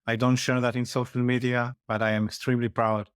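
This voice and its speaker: A man from Argentina, a guest on a podcast, explaining his points in a measured, step-by-step way. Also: medium pitch, little pitch movement, smooth, no echo, clean studio sound. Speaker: interview guest, security executive. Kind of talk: casual interview chat.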